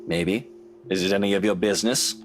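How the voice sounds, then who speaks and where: A man's voice: lowish voice, brisk, soft background music, natural voice. An actor playing a vain, sardonic man in a visual novel, with dry sarcasm and bravado over self-loathing. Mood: bitter, guarded. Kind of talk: dramatic visual novel voice acting.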